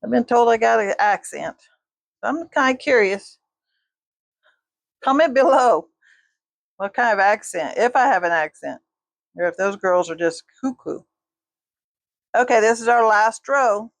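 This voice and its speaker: A woman's voice: a little high, animated, measured pace, gravelly, pauses often, dry recording. An older woman teaching a quilting tutorial, chatting with her viewers while she sews. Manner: folksy, talks to viewers while sewing.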